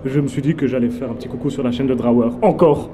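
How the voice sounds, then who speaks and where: A man's voice: mid-range voice, fast, dark tone, a little hiss or hum. A man from Belgium, giving a blunt, slangy film review as a guest at a festival. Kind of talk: casual film review chat.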